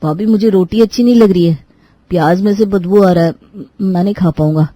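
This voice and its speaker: A woman's voice: medium pitch, fairly quick, warm tone, no room sound, lightly acted. A woman voicing a picky, blunt young brother-in-law who complains, in a cartoon moral story. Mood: complaining.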